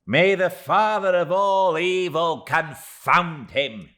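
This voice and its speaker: A man's voice: fairly high pitch, takes it slowly, fairly bright tone, voice pushed up. A man reading a storybook and voicing a tiny, emphatic, indignant middle-aged woman in distress. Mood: furious, distressed.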